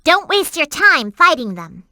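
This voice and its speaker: A woman's voice: high-pitched, very animated, measured pace, bright, forceful tone, no echo, studio quality, full character voice. A woman voicing a perky, earnest and bossy young girl companion in a video game, with emphatic delivery. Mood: helpful, curious. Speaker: in-game hint-giving companion.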